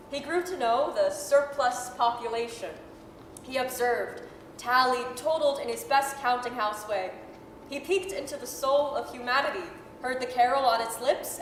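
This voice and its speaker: A woman narrator reading a scripted dramatic story in the style of a 1930s radio broadcast. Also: sits high. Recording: echoey hall, faint noise.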